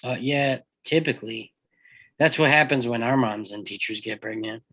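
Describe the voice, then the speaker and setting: Man acting a bright-voiced awkward teenage boy. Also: mid-range voice, close to an everyday voice. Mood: awkward, unsure. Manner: hesitant, halting. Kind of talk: live table read acting.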